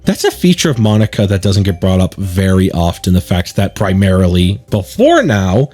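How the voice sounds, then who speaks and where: A man's voice: sits low, very animated, moderate pace, darkish tone, soft background music. A man giving chatty, digressive commentary while playing through a game. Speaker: let's play streamer. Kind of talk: let's play commentary mixed with reading game text aloud.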